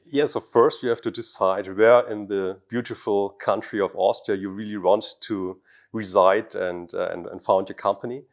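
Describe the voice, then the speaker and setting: A man's voice: lowish voice, fairly even intonation, moderate pace. A man in his thirties from Germany answers interview questions to camera as a startup founder, feeling his way through sentences with repeated words. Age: 30s. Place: office meeting room indoors. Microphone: lapel mic.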